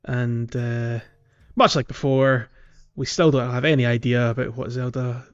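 A man's voice: mid-range voice, fairly even intonation, measured pace, smooth. A man co-hosting a podcast in casual, informal chat with some jokey banter. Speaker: podcast co-host. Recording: slightly dull audio over music. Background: music.

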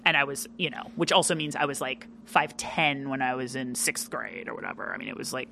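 A woman chatting casually as a podcast guest, with a loud, animated delivery full of fillers. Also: very deep voice, a little fast, vocal fry, a little hiss or hum. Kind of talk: casual interview chat.

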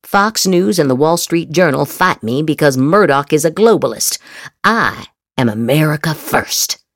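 Lady podcaster reading posts super dramatically, lowish voice going all over the place, real dry close sound. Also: expressive, unhurried, no room sound. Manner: exaggerated, emphatic inflection. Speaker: podcast host. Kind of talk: theatrical dramatic reading of social media posts.

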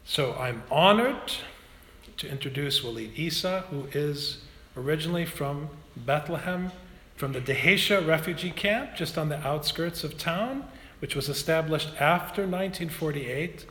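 Earnest priest in an echoey hall. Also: male voice, fairly high pitch, unhurried. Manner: earnest and impassioned, with sharp pace shifts. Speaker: parish priest introducing a speaker. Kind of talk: talk introduction. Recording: echoey hall recording with faint hum.

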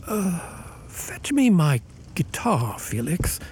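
Man acting gentle old blind father, slow and mellow. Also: high voice, sing-song, slight background noise, full character voice. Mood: fond, amused. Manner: kindly, unhurried, old-fashioned phrasing, chuckling warmth. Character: gentle, grateful, blind elderly cottager and father. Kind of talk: radio play acting.

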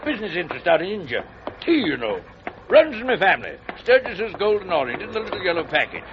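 An actor playing a hearty, pompous old gentleman posing as a commodore in a 1940s radio drama. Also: high-pitched, huge pitch swings, fast talker, full character voice. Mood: blustering. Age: older. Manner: hearty, pompous, blustering exclamations. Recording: dull old radio recording, noisy.

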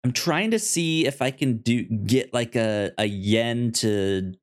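A man co-hosting a podcast, casually discussing film in a halting way, often repeating and stammering over his words. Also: medium pitch. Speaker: podcast co-host.

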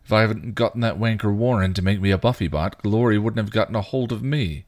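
A man voicing a bitter, guilt-ridden male vampire, rough and full of crude slang. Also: on the low side, fast, a little mellow, dry recording, voice pushed up, natural voice. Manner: snarling, rough, clipped slang. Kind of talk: fan fiction reading.

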